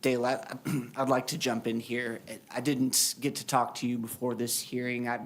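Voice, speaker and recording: A man serving as a commissioner, making measured procedural remarks at a public meeting. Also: medium pitch, level tone.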